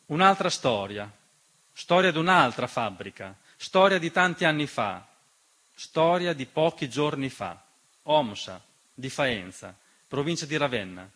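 A man delivering a scripted radio theatre monologue, measured and dramatic, with deliberate pauses. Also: fairly high pitch, fairly bright tone. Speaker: stage storyteller and narrator. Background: piano music.

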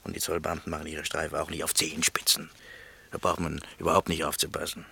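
A stubborn, nonchalant male escaped prisoner in a radio drama. His deep voice is heavily creaky and husky, grumbling with dry, colloquial irony. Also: monotone, quick pace, pushed and bright, exaggerated voice. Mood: dry, unbothered. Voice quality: gravelly. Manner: colloquial, nonchalant, dryly ironic. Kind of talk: radio play acting.

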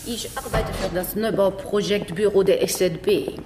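A woman's voice: mid-range voice, fairly even intonation, normal speed, over music, natural voice. An actress playing a polite, self-assured French office woman with a French accent and formal manner in a crime radio drama. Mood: confidential, proud. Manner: courteous, formal, peppered with French phrases. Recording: echoey space with clear background noise.

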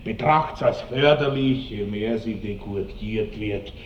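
An actor playing a pompous, lecherous baron with an Austrian accent and florid old-fashioned phrasing, in the stagey manner of 1960s radio drama. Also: male voice, lowish voice, takes it slowly, recorded from a distance. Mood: condescending, smug. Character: pompous, lecherous baron, self-satisfied suitor. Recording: dull, roomy, slightly noisy vintage radio recording.

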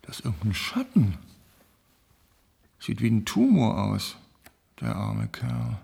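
An actor playing a curious, wry scientist in a German radio drama, with a gravelly, husky voice. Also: male voice, lowish voice, huge pitch swings, slow pace, warm tone, full character voice. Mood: curious, thoughtful. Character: curious, wry male scientist reviewing brain scans.

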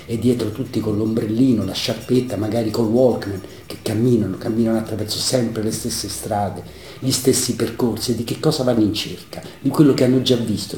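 A man's voice: lowish voice, a little mellow. A man giving his opinion in an interview, with emphatic, repetitive, scornful rhetoric. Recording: roomy, echoing interview recording.

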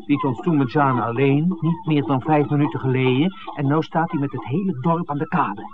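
An actor playing an inquisitive film-maker visiting a village in a 1960s radio play, conversational and full of questions. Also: male voice, fairly high pitch, normal speed, natural voice. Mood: curious, puzzled. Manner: questioning, conversational, hesitant asides. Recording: tinny, noisy 1960s radio recording.

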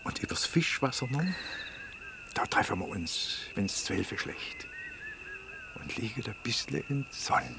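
Radio drama: enthusiastic, tender inspector, very lively intonation, insistent. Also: male voice, mid-range voice, measured pace, vocal fry, long pauses, background noise. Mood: tender, hushed. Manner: eager, insistent, repeats himself. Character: enthusiastic, tender male police inspector and choir member. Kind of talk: naturalistic radio drama acting.

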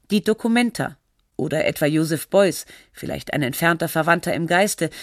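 A woman narrating a radio feature in a measured, factual and articulate scripted style. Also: on the low side, dry recording, studio quality, natural voice.

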